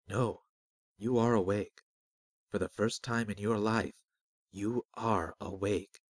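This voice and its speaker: Male narrator as disembodied spirit, fantasy audiobook, low, hushed, measured, enigmatic, very dry recording. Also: fairly even intonation, no room sound. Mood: solemn, guiding. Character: calm, cryptic disembodied spirit voice, guiding and riddling.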